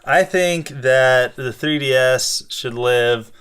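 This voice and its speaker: A man chatting casually and playfully as co-host of a fan show, halting as he thinks aloud. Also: mid-range voice, a bit bright, clear, resonant tone. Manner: playful, improvised sock-puppet banter. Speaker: fifth and sixth grade teacher. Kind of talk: casual chat.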